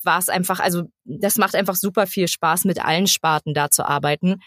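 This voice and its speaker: A woman of about thirty talks casually as an interview guest. Her pitch sits a little low for a woman and the sound is very dry. She gropes for words and is quick to giggle. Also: a bit bright, no room sound. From eastern Germany (Lower Lusatia). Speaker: guest, actress and voice actor.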